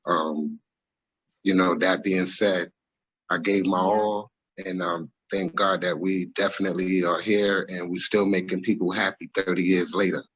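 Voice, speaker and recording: Deep husky New man. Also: flat delivery, a little slow, fairly bright tone, muffled recording. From New York, USA. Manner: rambling, reflective, frequent ums and self-corrections. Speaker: interview guest, former music manager. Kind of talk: casual storytelling interview. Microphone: video call webcam.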